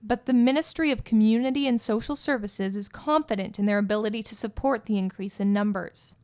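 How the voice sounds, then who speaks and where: A woman reporter giving scripted news narration in a measured, clear delivery as a voice-over. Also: fairly high pitch, darkish tone.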